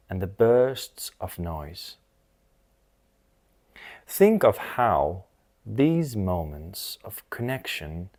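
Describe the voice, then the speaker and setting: A man with a fairly low voice guides a meditation. He speaks extremely slowly, in short soothing phrases. Long, measured pauses separate each instruction. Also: darkish tone.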